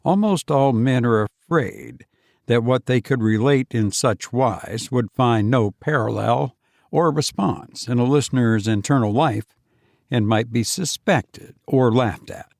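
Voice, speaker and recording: A man reading classic literary prose as a scripted audiobook narration, formal and measured. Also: mid-range voice, gravelly, no room sound, studio quality.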